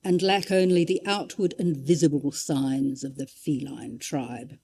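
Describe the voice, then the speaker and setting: Mellow-voiced woman giving a talk. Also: deep voice, steady tone, a little slow, slightly rough. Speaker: public lecturer. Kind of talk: informal illustrated history talk with read-out quotations.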